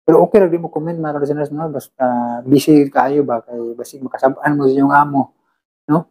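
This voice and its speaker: Mellow-voiced man hosting casually. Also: fairly high pitch, little pitch movement, a little fast, husky, low-quality recording. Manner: informal, repeats tag questions. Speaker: host of an online radio drama channel. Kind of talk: casual chat, reading and thanking listener comments.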